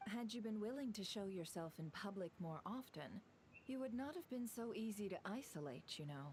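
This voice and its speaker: A woman's voice: medium pitch, expressive, moderate pace, darkish tone, slight background noise, close to an everyday voice. An actress playing a composed female officer who speaks in a level, matter-of-fact way. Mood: calm.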